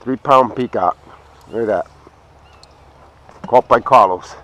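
A man's voice: medium pitch, slow pace, fairly bright tone. Man chatting casually on a noisy boat. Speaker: angler filming a fishing trip. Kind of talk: casual vlog chat while fishing. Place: small open boat on a waterway, outdoors. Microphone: chest or head action camera worn by speaker. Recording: outdoor action camera, clear background noise.

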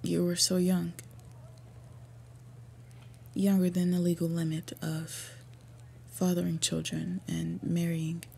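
Orc princess, female performer, fantasy audio drama: soft, dark-toned, very breathy voice, devoted and earnest, pausing often. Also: on the low side, full character voice. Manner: earnest, halting, tender delivery with pauses. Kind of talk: fantasy roleplay audio acting.

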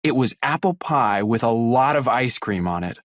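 Male reader, scripted listening clip, expressive pitch, measured and clear, dry studio sound. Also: no echo. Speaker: recorded listening exercise speaker. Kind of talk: scripted read-aloud.

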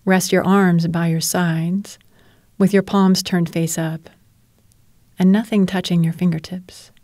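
Calm woman doing guided meditation, lowish warm relaxed voice, soothing n measured. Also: no echo, studio quality. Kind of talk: guided relaxation narration, yoga nidra.

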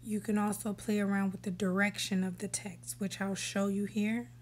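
A woman hosting a screen-recorded app tutorial, giving relaxed step-by-step instructions that sometimes drop to a whisper. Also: mid-range voice, normal speed, slight background noise. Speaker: tutorial host. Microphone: voice-over for a screen recording.

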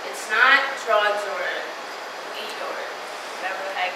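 A woman's voice: mid-range voice, a little slow. A young woman from the Dominican Republic whose first language is Spanish, giving a casual, chatty motivational talk to a live audience. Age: young adult. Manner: casual, chatty, with frequent fillers and laughs. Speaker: student speaker. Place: lecture hall before a live audience. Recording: very echoey hall, mic far off, noisy.

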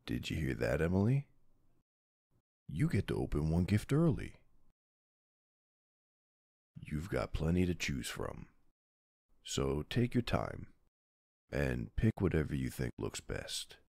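A man in an ASMR roleplay playing a doting mafia boss husband and gentle father, speaking tenderly and soothingly with soft pauses. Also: rumbling low, very animated, vocal fry, no room sound, studio quality, heavily acted. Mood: soothing, playful. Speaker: roleplay audio performer.

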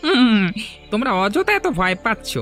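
Guy doing a confident cartoon village lender, high pitched forceful voice, light music. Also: very animated, normal speed, exaggerated voice. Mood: calm, assured. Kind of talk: cartoon moral story voice acting.

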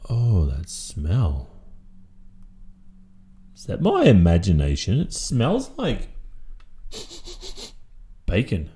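A man's voice: fairly low pitch, huge pitch swings, extremely slow, pauses often, dark tone, a little hiss or hum, exaggerated voice. A young man with an Australian accent playing a stressed, sleepy, sweet-natured student boyfriend in an audio roleplay, muttering drowsily to himself. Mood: distracted, weary. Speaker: roleplay voice performer.

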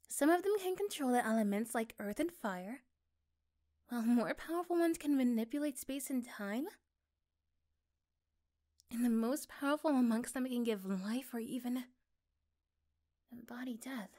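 A woman narrating a scripted second-person ASMR story in a soft, measured manner. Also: fairly high pitch.

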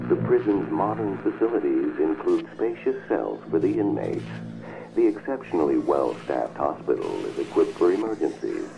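An actor playing a formal television news narrator in a video game, reading a measured scripted report. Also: male voice, very deep voice, vocal fry, exaggerated voice, rough recording. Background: music.

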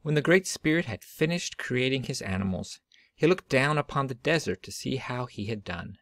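Man, children's storybook reader, mid-pitched voice with some fry, dry sound. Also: lively intonation, moderate pace, a bit bright, dry recording. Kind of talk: expressive read-aloud of a children's picture book.

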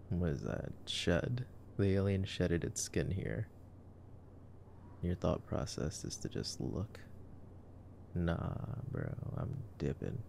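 A man in a casual ASMR roleplay, commenting on a movie in a soft, hushed, intimate murmur. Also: low voice. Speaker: ASMR roleplay voice actor as boyfriend. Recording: clearly noisy background ambience.

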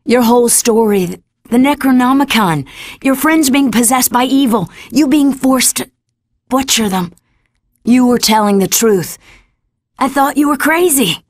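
A woman's voice: on the high side, a little slow, dry recording, clean studio sound. An actress playing an earnest, prim woman in a video game cutscene, speaking a little hesitantly. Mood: shaken, earnest. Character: earnest, prim female ally visiting an asylum inmate.

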